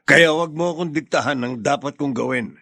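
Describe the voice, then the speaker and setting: Radio drama: stubborn stern father, gravelly, authoritative, recorded dry. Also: a little high, a little fast, pushed and bright, no room sound, heavily acted. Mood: guarded, irritated. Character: stern, stubborn middle-aged father and family patriarch. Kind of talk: Filipino radio drama acting.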